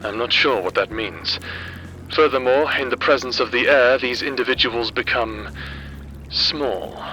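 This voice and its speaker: A man playing an earnest, reserved private investigator in an anime roleplay audio drama, speaking in measured, formal phrases. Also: mid-range voice, bright, forceful tone, dull audio, a little hiss or hum. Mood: pondering. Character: earnest male private investigator, reserved, secretly fond of client.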